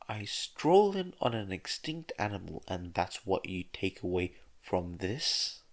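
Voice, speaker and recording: An eccentric scientist acted out by a man reading a story, showy and blunt. He talks in a theatrical, teasing way with a dry edge. The delivery is measured. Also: sits low, big pitch swings, exaggerated voice. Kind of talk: amateur fan-fiction audio reading.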